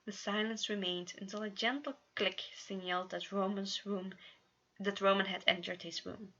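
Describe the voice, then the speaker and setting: A woman's voice: mid-range voice, steady tone, normal speed, bright, forceful tone, lo-fi sound. A woman narrating fan fiction in an amateur storybook style, stumbling over words and correcting herself now and then.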